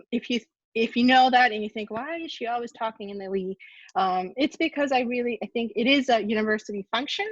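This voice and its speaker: A woman's voice: medium pitch, dry recording. A woman from Japan whose first language is Japanese, with an American accent, giving a friendly online talk as a university ombudsperson, with plenty of ums. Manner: friendly, chatty, with many ums. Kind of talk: online presentation. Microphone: video call. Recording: dull lo-fi video-call audio.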